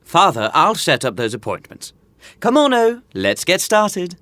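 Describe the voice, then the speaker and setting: An actor playing a loyal young best friend and dutiful son in a modern audio drama, dry and teasing. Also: male voice, sits high, wildly swooping pitch, unhurried, a bit bright, a little hiss or hum, exaggerated voice. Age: young adult.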